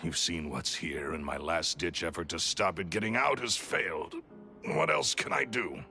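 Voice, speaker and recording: An actor playing a proud, cynical, cornered criminal in a video game, unrepentant, with a bitter, eloquent, theatrical delivery. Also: male voice, low voice, normal speed, creaky, pushed and bright, faint music behind, heavily acted. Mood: bitter, resigned. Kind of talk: dramatic English video game voice acting.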